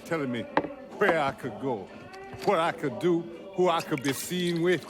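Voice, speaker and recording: A man's voice: fairly high pitch, animated, moderate pace, natural voice. A man playing a fatherly crime boss in a video game cutscene, with a Southern American accent and a folksy, heartfelt, emphatic delivery. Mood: heartfelt, proud. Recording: music playing behind the voice.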